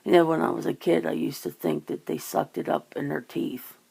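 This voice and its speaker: A woman with a creaky, gravelly voice chatting casually in an ASMR style, musing and rambling as host. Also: low-pitched, little pitch movement, fairly quick, a little mellow.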